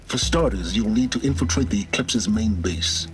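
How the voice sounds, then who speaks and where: A man's voice: on the low side, moderate pace, close to an everyday voice. An actor voicing a secretive, self-serving male schemer who talks in a curt, controlled, commanding way. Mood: cool. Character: secretive, cold male schemer guiding a hero. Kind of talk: video game cutscene acting. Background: game score music.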